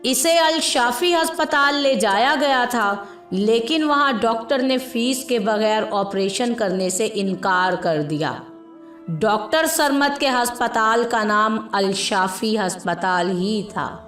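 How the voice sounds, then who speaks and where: A woman's voice: fairly high pitch, takes it slowly, a bit bright. A woman reading a scripted moral story as its narrator. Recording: roomy echo with music behind. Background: music.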